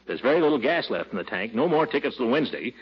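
An actor playing a pompous, blustery middle-aged uncle and town official in a theatrical 1940s radio comedy style. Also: male voice, mid-range voice, sing-song, quick pace, husky. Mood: indignant. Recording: muffled vintage 1940s radio recording.

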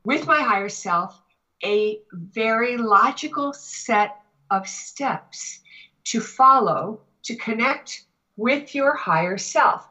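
A woman chatting casually as a talk show guest and teacher, telling anecdotes in an animated way. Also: fairly high pitch, slow pace, poor audio.

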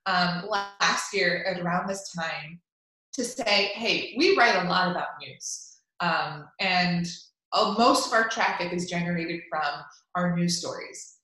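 A woman's voice: on the low side, unhurried, sharp tone. A woman whose first language is Spanish, talking in an upbeat, chatty way with many fillers and restarts in an interview. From Cuban family. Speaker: golf media executive. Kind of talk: interview answers. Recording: echoey room.

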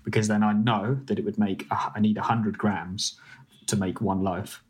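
A man's voice: lowish voice, little pitch movement, moderate pace. A young English man, a food editor and former baker, explaining things in a casual, hesitant way with many ums and restarts. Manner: hesitant, lots of ums and restarts, laughs easily. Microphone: video call.

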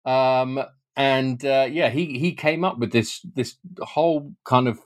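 A man's voice: medium pitch, steady tone, slow. A British man speaking as an interviewed author, explaining things informally and often hesitating or repeating words as he goes. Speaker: guest author and historian.

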